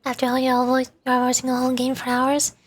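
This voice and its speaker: A woman's voice: high-pitched, monotone, fast talker, husky. A woman chatting casually as a game streamer, playful and self-conscious, with a hesitant way of talking. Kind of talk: casual livestream chat while voicing game dialogue.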